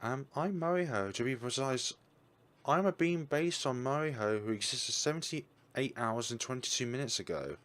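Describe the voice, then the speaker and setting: A man voicing an AI copy of a young woman scientist, who speaks calmly and precisely with a mild teasing edge. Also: mid-range voice, normal speed, natural voice.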